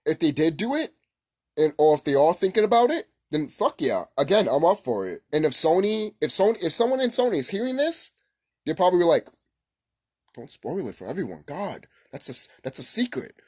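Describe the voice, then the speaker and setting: Man, gaming vlogger, very animated pitch, casual, rambling, excitable, repetitive, mild swearing. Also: brisk, breathy edge. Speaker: gaming video host. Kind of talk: casual unscripted vlog commentary.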